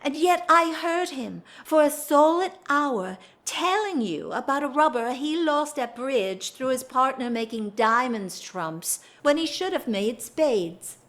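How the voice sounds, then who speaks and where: A woman's voice: sits high, lively intonation, a little slow, few pauses, fairly bright tone. A woman playing a restless, bored aristocratic wife in a period audio drama, theatrical and wry, with emphatic swells. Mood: wry complaint. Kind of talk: period drawing-room audio drama acting.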